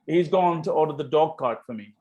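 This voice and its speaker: Man acting higher-voiced, flippant young Victorian dandy. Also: fairly quick, a little mellow, muffled recording, lightly acted. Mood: lovestruck. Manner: breezy, teasing. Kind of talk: amateur table read of a stage comedy.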